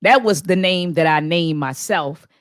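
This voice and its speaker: A woman in her forties from Baltimore, a professor, telling her story as an interview guest in earnest, lively speech with many fillers. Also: on the low side, unhurried, no room sound, clean studio sound. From Baltimore, USA. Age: 40s.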